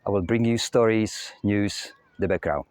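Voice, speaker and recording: A young man with a Czech accent, hosting his own channel and telling stories in a steady, matter-of-fact way. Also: on the low side, a little slow, no room sound, studio quality. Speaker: channel host. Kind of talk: scripted narration. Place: outdoors near a castle.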